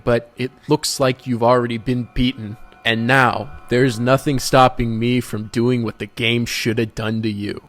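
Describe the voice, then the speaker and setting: A man's voice: medium pitch, a bit bright, lightly acted. A man voicing a smug, mocking villain salesman in a theatrical, taunting way for a story.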